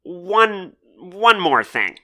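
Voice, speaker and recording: A flustered musician acted by a woman with a low, sharp-edged voice. She is hesitant and halting, her pitch swinging widely in audio drama dialogue. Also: big pitch swings, full character voice. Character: hesitant, easily flustered musician pushed into music director job.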